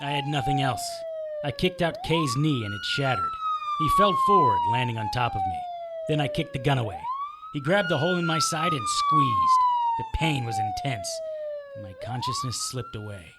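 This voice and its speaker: Animated, hard-boiled private eye played by a man. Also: very high voice, moderate pace, very noisy, exaggerated voice. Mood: pained, strained. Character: wisecracking, battered male private investigator narrating his fight. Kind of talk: audio drama narration and acting.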